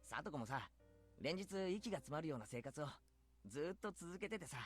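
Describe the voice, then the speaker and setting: A man's voice: a little high, big pitch swings, racing, soft background music. A brash, pushy teenage boy. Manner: rough, pushy casual speech. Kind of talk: anime game voice acting.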